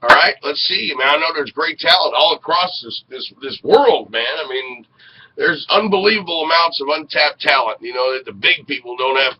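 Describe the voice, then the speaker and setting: A middle-aged man hosts a casual web show with effusive, folksy talk. His voice sits a little high, and the sound is dull and lo-fi with some noise behind it. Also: normal speed. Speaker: web radio show host. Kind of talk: casual hosting talk to camera. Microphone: headset. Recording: dull lo-fi webcam audio with noise.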